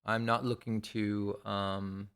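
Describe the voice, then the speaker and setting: A man's voice: on the low side, flat delivery, slow, a bit bright, clean tone. A man in his sixties talks to viewers in a loose, rambling monologue, repeating phrases and swearing casually. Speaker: vlogger.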